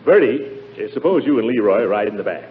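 An actor playing a blustery, exasperated middle-aged uncle in a 1940s radio comedy, hesitating and bursting into complaint. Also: male voice, lowish voice, lively intonation, brisk, close to an everyday voice. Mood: fussy. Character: blustery, exasperated middle-aged uncle, self-pitying sitcom head of household. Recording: muffled, noisy 1940s radio broadcast recording.

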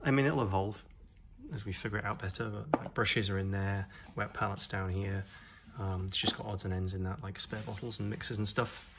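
Brief: man, low voice, relaxed home hobby reviewer, lots of ums, phone recording. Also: fairly quick, noisy background. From New Zealand. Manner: rambling, frequent ums and uhs, relaxed. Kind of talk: casual product review. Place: home gaming room, indoors. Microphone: phone camera, off screen.

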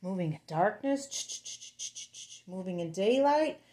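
A woman storyteller reads a picture book to little children at an unhurried pace. Her pitch moves around in a lively, sing-song way. She talks straight to her young listeners in a playful manner. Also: a little hiss or hum.

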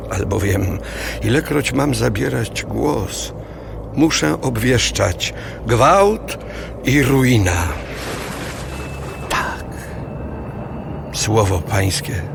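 Gravelly anguished prophet. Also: male voice, fairly low pitch, full character voice. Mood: bitter lament. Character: anguished male prophet lamenting to God. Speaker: actor in an audio Bible. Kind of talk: dramatic scripture reading. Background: music.

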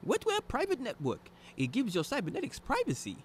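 A high excitable hacker sidekick voice, muddles words, radio play, noisy background. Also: male voice, brisk, full character voice. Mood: eager, excitable. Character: excitable, malapropism-prone male hacker sidekick. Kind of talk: audio drama dialogue.

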